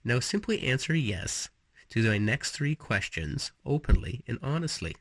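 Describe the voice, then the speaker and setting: Guy doing guided meditation, slow and soothing, husky voice that moves up and down a lot, really dry close sound. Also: mid-range voice, lively intonation, dry recording. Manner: slow, soothing, instructive delivery with pauses. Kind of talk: guided relaxation meditation with storytelling.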